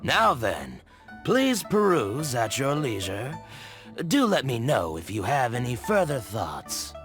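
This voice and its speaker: Snooty, theatrically drawling shopkeeper. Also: male voice, mid-range voice, huge pitch swings, slow, a bit bright, faint music behind, full character voice. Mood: obliging. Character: snobbish, haughty shopkeeper who fawns on connoisseurs. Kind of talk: English anime game dub acting.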